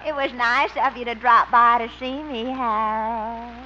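A woman's voice: on the high side, fairly even intonation, lightly acted. An actress playing a flirtatious, romantic lady friend in a 1950s radio sitcom, drawing out her words coyly. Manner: coy, drawn-out. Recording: dull old radio transcription with hiss.

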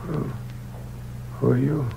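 An actor playing a bitter, weary young ex-convict in a 1940s radio crime drama, with a wry, slangy, hard-boiled manner. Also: male voice, mid-range voice, fairly even intonation, slow, gravelly, dark tone. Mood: weak, wry. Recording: dull, noisy old radio recording.

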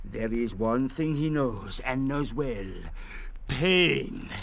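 A man's voice: mid-range voice, animated, slow, creaky, highs cut off, very noisy. A man playing a brutal, hot-tempered, suspicious castaway in old radio drama style, with a harsh, menacing delivery. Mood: grim, menacing. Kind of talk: 1940s radio drama acting.

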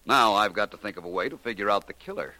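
An American actor playing a shrewd amateur detective in a 1940s radio mystery, articulate, coolly logical and slightly superior. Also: male voice, fairly low pitch, quick pace, very noisy, lightly acted. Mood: assured, insistent. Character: shrewd, unhurried male amateur detective solving a murder.

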